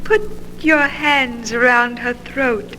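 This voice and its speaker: A sinister female spirit in a radio horror play, high and piercingly bright. She coaxes and commands seductively and urgently, in deep reverb. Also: steady tone, slow pace. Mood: coaxing, urgent. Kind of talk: old-time radio horror acting. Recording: noisy old radio recording, long reverb.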